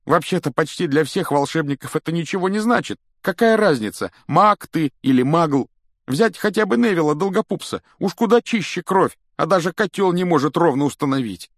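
Schoolboy wizard, acted by a man, storybook tale, raised pitch, hot-tempered, indignant, emphatic. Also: expressive, moderate pace, no room sound, clean studio sound. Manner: emphatic, exclaiming.

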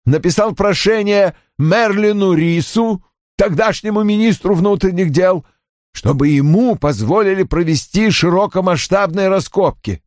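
A man's voice: high-pitched, measured pace, darkish tone, dry recording, clean studio sound, pitched above the performer's own voice. A man voicing a bitter, brusque older father in an audiobook, irritable and defensive, with cold, emphatic delivery. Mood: agitated. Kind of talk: audiobook character acting.

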